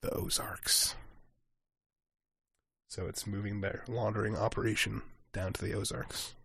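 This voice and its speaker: A man co-hosting a podcast in casual chat, animated and acting out quick asides. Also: lowish voice, creaky, husky, no room sound. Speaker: podcast co-host.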